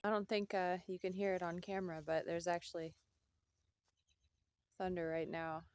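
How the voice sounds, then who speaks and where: Chatty woman vlogging at home, low voice. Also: little pitch movement, moderate pace, clear, resonant tone. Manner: rambling, hesitant, with fillers and self-repeats. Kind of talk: casual chat to camera.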